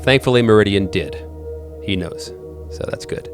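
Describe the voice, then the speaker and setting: A man working as game master, improvising horror narration in a halting way, often repeating words as he thinks aloud. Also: on the low side, animated, music in the background.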